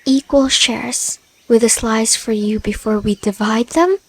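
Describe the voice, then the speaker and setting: Prickly spider-woman played by a woman: slow, scornful, haughty audio-drama delivery. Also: fairly high pitch, breathy edge, no echo, low-quality recording. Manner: dry, scornful, bargaining. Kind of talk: ASMR audio roleplay.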